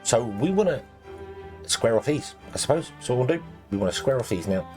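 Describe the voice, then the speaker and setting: A man commentating his gameplay casually, rambling with lots of ums and restarts, and a little croaky as he loses his voice. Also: medium pitch, animated, a little fast, darkish tone, soft background music. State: slightly croaky, losing his voice. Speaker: let's play host.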